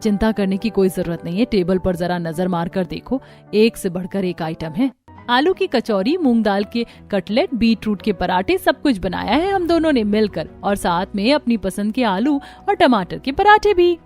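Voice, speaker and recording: A woman's voice: medium pitch, very animated, moderate pace, a little mellow, soft background music, lightly acted. A woman voicing a young daughter-in-law in a cartoon family story, with an emphatic, earnest delivery. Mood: reassuring.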